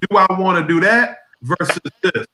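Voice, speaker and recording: Man on a zoom call talking slow and steady, brightish voice, kinda echoey. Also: high voice. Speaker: guest on training call. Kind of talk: casual explanation on video call. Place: home room on video call. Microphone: webcam or laptop mic on video call. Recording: echoey room.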